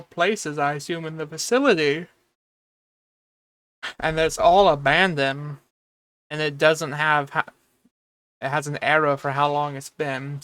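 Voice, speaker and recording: A video host giving casual reaction commentary, thinking aloud in a halting way. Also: medium pitch, a bit bright, clear, resonant tone, no echo.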